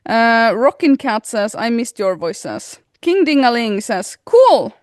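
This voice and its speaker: A woman co-hosting a casual podcast, talking in an animated way, laughing often and reading listener comments aloud. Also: on the high side, slow pace, no room sound, studio quality. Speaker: podcast co-host. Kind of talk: casual chat.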